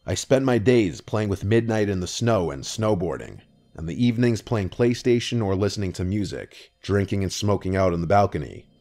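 A man reading first-person horror stories in a scripted narration, with a low, hushed storytelling delivery. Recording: soft music underneath.

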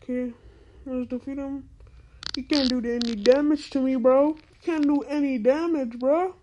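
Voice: high-pitched, fairly even intonation, moderate pace, mellow, slight background noise, poor audio. A female-sounding voice through a voice changer, giving casual gameplay commentary in an exclamatory, incredulous, slangy and repetitive way. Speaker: gaming video host.

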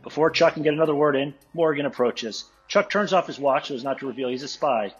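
A man's voice: on the high side, quick pace, breathy edge, highs cut off, soft background music. A man narrating a script, reading out the stage directions at a table read.

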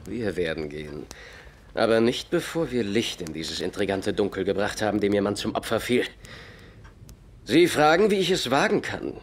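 Shrewd, relentless detective for audio drama: low-pitched, highly expressive, pointed and theatrical. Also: male voice, normal speed, slight background noise. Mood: commanding, accusing.

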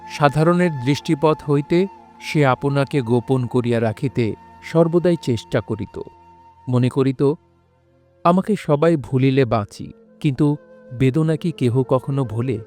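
A man narrating a literary story in a formal, measured, solemn style. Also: mid-range voice, darkish tone, close to an everyday voice. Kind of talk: scripted literary narration in old formal Bengali.

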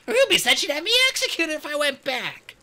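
A man's voice: extremely high pitch, huge pitch swings, fairly quick, sharp tone, slight background noise, voice pushed up, heavily acted. Man voicing a stubborn young dragon girl. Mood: protesting. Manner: stubborn, pleading.

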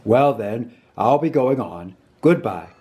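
A simple, good-natured storybook bear, voiced by a woman. The delivery is slow and hesitant, a little plaintive, with lively rises and falls. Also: a bass voice, full character voice. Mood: hesitant, polite. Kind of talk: children's storybook character voice.

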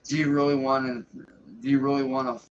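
An enraged man rants at the camera, swearing and hammering each warning home. His voice is of middling pitch and reaches us as dull, lo-fi livestream audio with a faint hiss under it. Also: flat delivery, brisk. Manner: threatening, profane, emphatic. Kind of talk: angry livestream rant.

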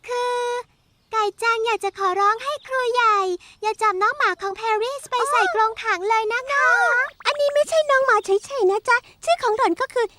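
A woman's voice: very high voice, a little fast, pushed and bright, slight background noise. A woman voicing a spunky, cheerful young schoolgirl in a cartoon dub, chirpy and whiny. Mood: pleading.

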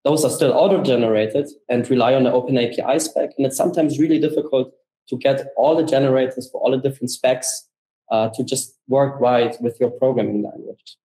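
A man's voice: medium pitch, steady tone, a little fast, mellow, reverberant, rough recording. A young man, a company co-founder, giving an enthusiastic, conversational conference keynote with many fillers. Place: room with paintings on the walls.

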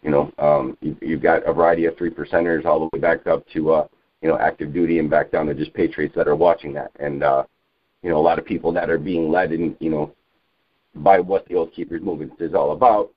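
Very deep-voiced man on muffled phone line. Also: flat delivery, no echo. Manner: halting, repetitive, insistent, peppered with you know. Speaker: civilian activist on a group conference call. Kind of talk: rambling informal political talk. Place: telephone conference call.

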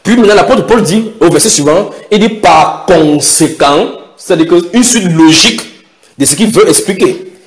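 A man preaching a sermon, questioning the congregation and stretching out key words for emphasis. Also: sits high. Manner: emphatic, questions the audience, drawn-out stresses.

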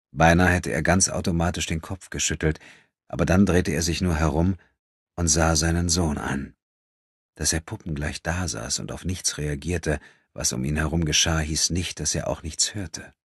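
A male audiobook narrator with an exceptionally deep voice and a heavily husky texture. He reads dramatic literary prose in a close, dry recording. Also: fairly even intonation, moderate pace, dry recording.

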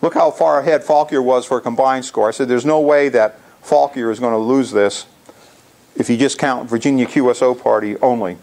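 Male, 60s, creaky gravel in the voice, informal club presenter talking through slides. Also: medium pitch, steady tone, a little fast, a little mellow. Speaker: amateur radio club presenter. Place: indoor lecture room.

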